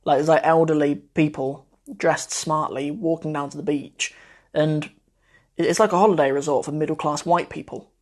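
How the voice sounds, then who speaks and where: A man, an Arabic teacher chatting casually through a live Q&A, meandering and often trailing off softly. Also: a little high, steady tone, moderate pace. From Cornwall, England. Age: 20s. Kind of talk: casual livestream chat. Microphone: phone mic.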